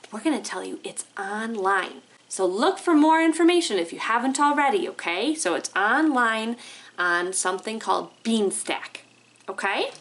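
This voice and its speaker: A woman hosting library storytime, reading aloud and explaining things expressively to young children, often checking in with them. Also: mid-range voice.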